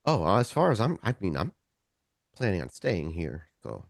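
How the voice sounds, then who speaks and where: A man playing a nervous, well-meaning ordinary human in a tabletop game, talking casually in a halting, stammering way. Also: deep voice, huge pitch swings, creaky, no room sound, clean studio sound, full character voice. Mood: awkward, explaining.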